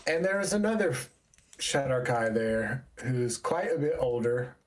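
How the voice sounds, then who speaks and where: A man working as game master, narrating a tabletop role-playing game in a halting, deliberate way. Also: medium pitch, animated.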